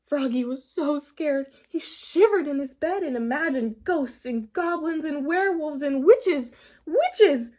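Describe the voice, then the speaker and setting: A woman's voice: high voice, measured pace, few pauses, warm tone. A woman reading a storybook aloud to young children in a teacherly way, prompting them with questions.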